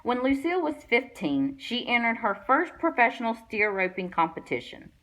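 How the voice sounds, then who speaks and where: A woman reading a children's picture book aloud with expressive storytelling delivery. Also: a little high, normal speed, rough recording. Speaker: guest read-aloud reader.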